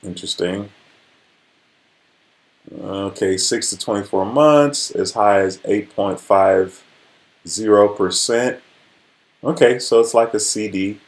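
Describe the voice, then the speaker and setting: Low-voiced man in his forties, casual livestreamer. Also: slow, pauses often. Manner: conversational, frequently checks in with listeners. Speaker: livestream host. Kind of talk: casual motivational livestream chat.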